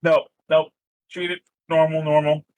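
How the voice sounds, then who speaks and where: A man's voice: a little high, flat delivery, measured pace, darkish tone, dry recording, poor audio. A man running a tabletop horror game, setting the scene in a loose, rambling way full of fillers. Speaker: game master. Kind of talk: casual tabletop game narration.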